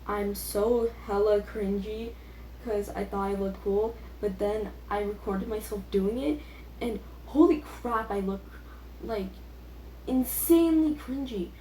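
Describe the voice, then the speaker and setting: Teen female vlogger, soft-edged relaxed tone, self-deprecating off-the-cuff chatter, recorded in a reverberant room. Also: medium pitch, takes it slowly. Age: teenager. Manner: self-deprecating, filler-heavy youthful talk. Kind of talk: casual rambling chat. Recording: echoey room with faint background noise.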